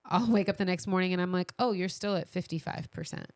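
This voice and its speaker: A woman's voice: lowish voice, fast, a little mellow, no room sound, studio quality. A woman co-hosting a podcast, talking in a casual, conversational way with her partner. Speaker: podcast co-host.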